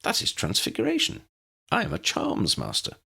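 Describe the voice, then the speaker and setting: A small, elderly wizard professor played by a man from a storybook reading. The voice is pitched high and swings eagerly, with kindly, fussy, old-fashioned courtesy. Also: wildly swooping pitch, moderate pace, gravelly, pushed and bright, breathy edge, exaggerated voice. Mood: earnest, helpful.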